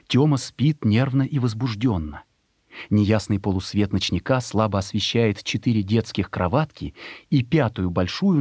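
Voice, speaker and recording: A man's voice: mid-range voice, a little mellow, breathy edge, no echo, studio quality. A man reading a literary story as a narrator, expressively and with emotion, in a scripted dramatic style.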